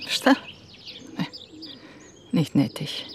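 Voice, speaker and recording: Low-voiced, guarded woman. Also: drawn-out words. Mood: defiant. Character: guarded, proud Serbian woman confiding in a detective. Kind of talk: radio crime drama acting. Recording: faint outdoor background noise. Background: birdsong outdoors.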